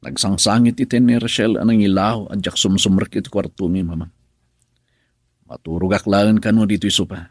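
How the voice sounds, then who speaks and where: Napakumbaba nga asawa a lalaki, namaga ti timekna. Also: male voice, on the low side, fairly even intonation, brisk, husky, no room sound, clean studio sound. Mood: defensive. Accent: Ilocano. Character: injured husband pleading earnestly with his mother. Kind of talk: Ilocano radio drama acting.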